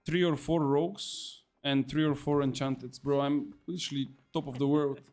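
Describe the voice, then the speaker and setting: A young man streaming a game, excitably commenting, repeating words and calling people bro. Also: a little high, moderate pace, soft background music. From Bulgaria. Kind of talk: casual gameplay commentary.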